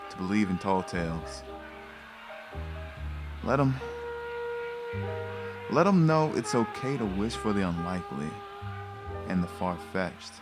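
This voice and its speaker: A gentle, hopeful old prizefighter. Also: male voice, sits low. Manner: soft-spoken, earnest, reflective. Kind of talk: audio drama acting. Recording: music playing under the voice.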